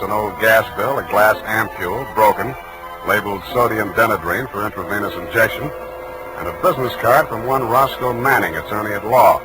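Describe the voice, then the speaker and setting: An American actor playing a wry, unflappable private detective in a 1940s radio drama, with dry, clipped, wisecracking delivery. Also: male voice, on the low side, close to an everyday voice, rough recording. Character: wry, unflappable male private detective narrating his case. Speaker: radio drama lead and narrator. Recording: 1940s radio broadcast with music.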